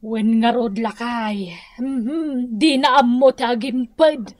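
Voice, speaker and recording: A disapproving Ilocano mother in a radio comedy. Her voice is high and dry in the studio. She nags like someone forever scandalized by her grown child. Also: takes it slowly, darkish tone, no echo. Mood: resigned disapproval. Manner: scandalized, nagging. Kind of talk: Filipino radio comedy drama acting.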